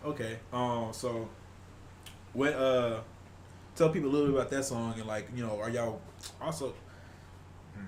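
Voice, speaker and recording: A young man, hosting a casual podcast interview in a relaxed way. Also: mid-range voice, normal speed, pauses often. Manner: relaxed, laid-back, lots of filler and encouraging back-channel remarks. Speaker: podcast host. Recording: echoey room with faint noise.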